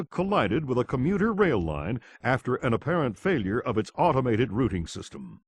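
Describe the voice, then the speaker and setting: A man's voice: medium pitch, no room sound. A man playing a grave, authoritative TV news anchor reading a scripted report.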